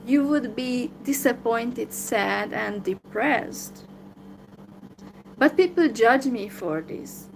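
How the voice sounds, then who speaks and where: A woman with a Hungarian accent and a slightly high voice speaks alone to her viewers. Her talk is downcast and hesitant, full of fillers. A steady hum runs behind her voice throughout. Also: takes it slowly, gravelly, low-quality recording. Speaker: vlogger. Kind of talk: solo livestream monologue.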